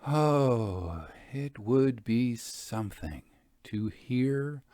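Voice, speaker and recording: Man playing a droll Victorian gentleman ghost hunter. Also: mid-range voice, drawn-out words, no echo, clean studio sound. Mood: eager, genial. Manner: formal, droll, theatrically expressive. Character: wistful, genial Victorian gentleman ghost hunter narrating his travels. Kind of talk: dramatic first-person storybook reading.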